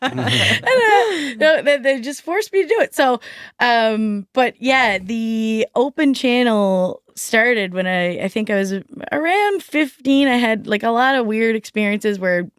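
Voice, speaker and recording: A woman's voice: a little high, animated, measured pace, smooth, no room sound. A chatty woman in her thirties, talking freely as a podcast guest. She fills her talk with likes and ums and slips into little voices for quoted people. The sound is close and dry, with no room around it. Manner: chatty, many fillers, acts out quoted voices. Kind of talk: casual interview chat.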